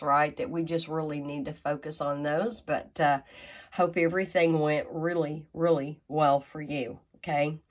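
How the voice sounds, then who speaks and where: A woman from talks through a craft tutorial, her voice low and her pace unhurried. Her nose sounds stuffed up from a sinus infection, and she chats in a folksy way with plenty of little fillers. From Tennessee, USA. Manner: chatty and folksy, with frequent fillers and asides. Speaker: craft demonstrator. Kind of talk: casual card-making tutorial.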